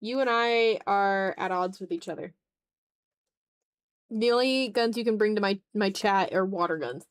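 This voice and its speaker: A woman's voice: medium pitch, unhurried, smooth. Casual, playful woman art streamer. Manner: playful, dramatic groaning, swears casually. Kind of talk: casual live-stream chat while drawing.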